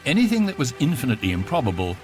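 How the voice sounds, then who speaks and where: A man acting the urbane, authoritative voice of a galactic guidebook, narrating in a grand, droll, measured radio comedy style. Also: mid-range voice, wildly swooping pitch, a little mellow, faint music behind. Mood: grandly droll. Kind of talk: scripted mock-documentary narration in radio comedy.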